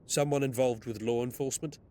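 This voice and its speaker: An actor in an audio drama playing a composed gentleman detective with a precise, formal, detached way of talking. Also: medium pitch, steady tone, a little fast, natural voice. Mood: cool, measured.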